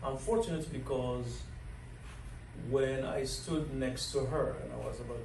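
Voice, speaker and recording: A man's voice: medium pitch, fairly even intonation, measured pace, creaky, darkish tone. A man in his sixties from Morocco, telling his life story in an interview with plenty of fillers and chuckles. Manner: rambling, chuckles often, many fillers. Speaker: interview guest, dining director. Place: large learning center room. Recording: echoey room from a distance, faint noise.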